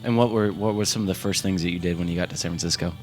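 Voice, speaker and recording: A man's voice: low-pitched, rapid-fire, soft background music. A man from Ohio hosting a casual interview, easygoing and stumbling a little as he reads out listener questions.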